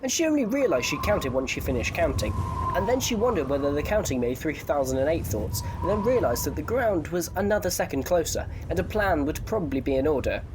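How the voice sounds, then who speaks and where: A man's voice: on the high side, big pitch swings, brisk, background noise. A man narrating a scripted story for an audio drama in a wry, playful storytelling manner.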